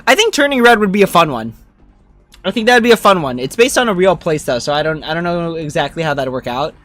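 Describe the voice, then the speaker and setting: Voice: mid-range voice, animated, fast talker, fairly bright tone, dry recording. A game streamer, chatting casually and thinking aloud while playing. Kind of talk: livestream gameplay commentary, casual chat. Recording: game music behind. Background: video game music.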